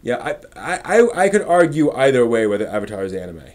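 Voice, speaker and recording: A man's voice: mid-range voice, big pitch swings, a little fast, darkish tone. A man hosting a casual live stream, rambling and often muttering to himself as he works.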